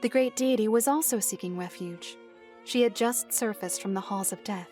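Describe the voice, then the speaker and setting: A woman's voice: medium pitch, darkish tone, lightly acted. A reserved woman storyteller from a fantasy audio drama, played by an actress. She chooses her words with formal precision and keeps a composed, dryly stern tone. Music plays behind her voice. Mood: solemn storytelling. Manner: measured, formal, precise phrasing. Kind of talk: fantasy visual novel audio drama acting.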